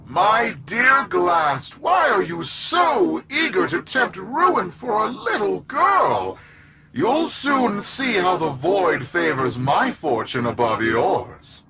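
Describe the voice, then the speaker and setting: A man's voice: high-pitched, sing-song, slow, sharp tone, a little hiss or hum, heavily acted. A man playing a smug, grandiose, greedy corporate villain in a video game, speaking in a theatrical, gloating way. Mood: taunting. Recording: music behind the voice.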